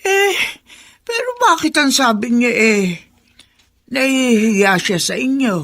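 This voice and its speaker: Slow, creaky old woman neighbour. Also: high-pitched, lively intonation, a bit bright, full character voice. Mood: musing, concerned. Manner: halting, hesitant, drawn-out. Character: elderly woman neighbour, gossipy but concerned. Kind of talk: radio drama acting.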